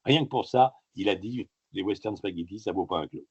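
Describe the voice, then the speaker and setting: Husky low-voiced man telling stories. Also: a little mellow, dry recording, highs cut off. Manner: halting, digressive storytelling full of filler sounds. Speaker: author being interviewed. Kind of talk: casual interview talk.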